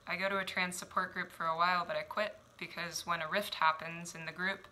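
Woman in her 20s doing spoken word at home on a webcam, slow deliberate pauses, very bright forceful tone. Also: low voice, a little hiss or hum, rough recording. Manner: measured, reflective, deliberate pauses. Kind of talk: spoken word poetry performance. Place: small home room indoors. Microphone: headphones and webcam.

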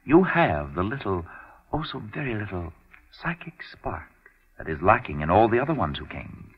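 An actor playing a solemn, self-assured mystic detective with formal, theatrical phrasing in an old-time radio drama. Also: male voice, fairly low pitch, very animated, normal speed, husky, full character voice. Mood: solemn, coaxing. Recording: dull, muffled old radio recording.

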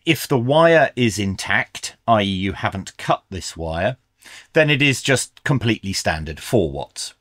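A man's voice: lowish voice, big pitch swings, a little slow, fairly bright tone, no echo, clean studio sound. A middle-aged man giving a methodical, explanatory product review in an instructional style. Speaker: radio reviewer, presenter.

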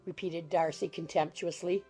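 A woman's voice: lowish voice, steady tone, normal speed, warm tone, breathy edge, faint music behind. A woman narrating a literary audiobook from a script.

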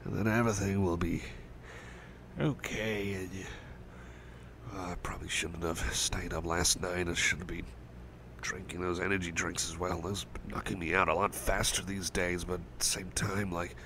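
A man plays a caring young cyborg friend in a soft ASMR roleplay, speaking low with a gravelly fry and a rambling, hesitant warmth. He slips into whispers now and then. Faint noise hums under the recording. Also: little pitch movement, moderate pace. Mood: soothing. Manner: soft, hesitant and rambling, with many fillers and restarts; drops to soft whispers at times. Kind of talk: ASMR roleplay, personal attention.

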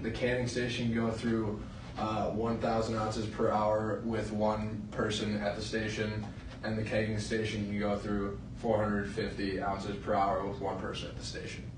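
A young man, a student, narrating a class project with a flat, halting delivery and many fillers. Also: lowish voice. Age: young adult. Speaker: student presenter. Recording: roomy, distant mic, faint noise.

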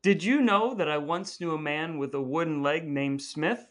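A man's voice: on the high side, moderate pace. A man co-hosting a podcast in casual banter, chipping in with brief, joking remarks. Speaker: podcast co-host.

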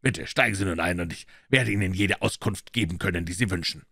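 A male reader as a courteous audiobook detective. The voice is fairly low and close and dry, measured, polite and quietly self-assured. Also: creaky, breathy edge, dry recording, exaggerated voice. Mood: courteous, knowing.

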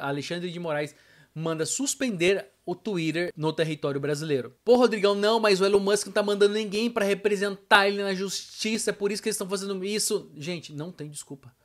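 Higher-pitched Brazilian man talking emphatically. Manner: emphatic, colloquial, addresses viewers directly. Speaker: vlogger. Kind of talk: casual opinion vlog to camera. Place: small home room with arcade machine, indoors.

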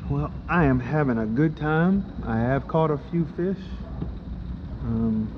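Slow-talking man fishing from a kayak. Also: fairly high pitch. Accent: Florida. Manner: slow, explanatory, excited when fish bite. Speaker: kayak fishing video host. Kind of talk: casual on-the-water commentary. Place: kayak on water under a pier, outdoors. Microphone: action camera mounted on kayak. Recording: muffled action-cam audio with water noise.

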